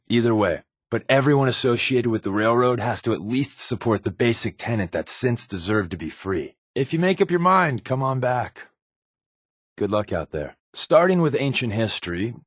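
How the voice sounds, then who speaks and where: A sardonic American secret agent in a video game, a companion with glib, wisecracking patter. His pitch moves around freely in an animated delivery, and the sound is dry and close. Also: no echo. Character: wry, sarcastic undercover resistance agent and companion.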